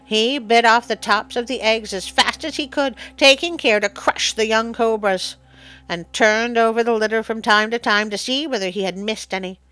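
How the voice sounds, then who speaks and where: A woman's voice: on the high side, normal speed, a bit bright, no echo. Woman storyteller with music behind her. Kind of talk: expressive children's story narration.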